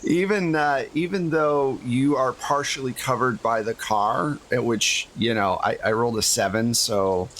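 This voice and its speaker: A man's voice: medium pitch, measured pace, few pauses, a bit bright, a little hiss or hum. A man running a tabletop game, narrating casually as game master with a jokey streak.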